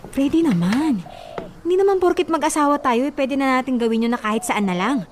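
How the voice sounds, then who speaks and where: A woman's voice: high-pitched, expressive, brisk, slight background noise. An actress playing an affectionate, hurt young wife and mother in an emotional, pleading Filipino radio drama style. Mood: hurt, reproachful.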